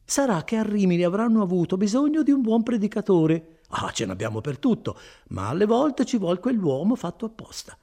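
A talkative, placid country friar as a man acts him out for a storybook, folksy and reassuring. His voice creaks and bobs up and down through long, unhurried explanations. He sounds untroubled by anything. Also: sits high, sing-song, warm tone, pitched above the performer's own voice, exaggerated voice. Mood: complacent, chatty. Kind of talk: dramatised audiobook reading.

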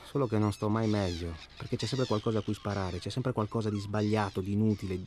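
A man's voice: on the low side, fairly even intonation, quick pace, a little mellow, slight background noise, lightly acted. An actor playing a weary, restless man tormented by his job, who rambles in an agitated, sardonic, naturalistic way. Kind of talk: naturalistic radio drama dialogue.

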